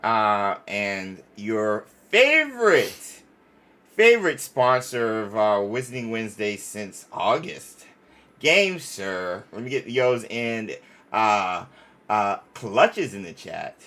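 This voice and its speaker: A man hosting a livestream, chatting casually and reading sponsor spots, often halting and repeating himself. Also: on the low side, big pitch swings, bright, forceful tone. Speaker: livestream host.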